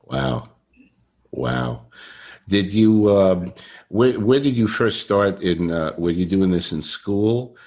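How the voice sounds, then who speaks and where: An older man hosts a conversational interview, haltingly and often repeating his phrases. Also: low-pitched, animated, darkish tone. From Brooklyn, New York. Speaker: podcast interviewer.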